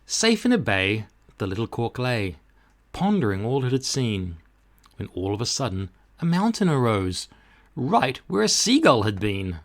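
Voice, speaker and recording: A man narrates a children's story in verse. His voice sits at a medium pitch and moves expressively through it, keeping a clear rhyming rhythm. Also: sing-song, measured pace. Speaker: storybook reader.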